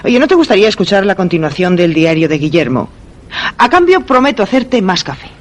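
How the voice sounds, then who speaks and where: A lively wife in a radio drama. Her voice swings expressively as she chats and coaxes in an everyday tone. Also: mid-range voice, fairly quick. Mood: coaxing. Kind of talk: conversational radio drama acting.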